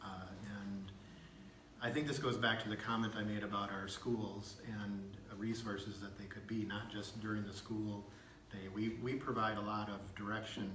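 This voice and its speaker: A man's voice: on the low side, level tone, moderate pace, a bit bright. A man in his fifties, a state legislator, answering at a town hall in a folksy, anecdotal way. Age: 50s. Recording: echoey room, faint background noise.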